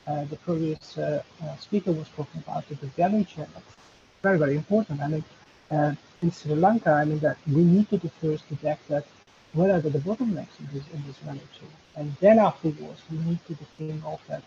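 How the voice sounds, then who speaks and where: Halting professor on a video call. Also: male voice, a little high, gravelly, mellow. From Turkey. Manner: halting, many fillers and restarts. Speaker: professor and panelist. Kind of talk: webinar panel talk. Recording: dull lo-fi video call audio with faint hiss.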